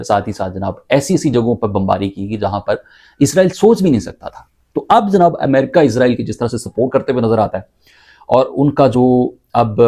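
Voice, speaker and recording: A man with a soft, mellow voice at a medium pitch gives off-the-cuff news analysis. He explains step by step, haltingly, often doubling back to repeat a word. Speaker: news commentator. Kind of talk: unscripted news analysis commentary.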